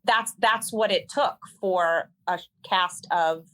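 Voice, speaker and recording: A woman with a bright, forward voice sitting lowish in pitch for her. She talks as an interview guest in a loose, chatty way, full of ums, likes and little restarts. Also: slow. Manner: chatty, many fillers and restarts. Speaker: stage actor and singer, guest. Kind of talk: casual conversational storytelling.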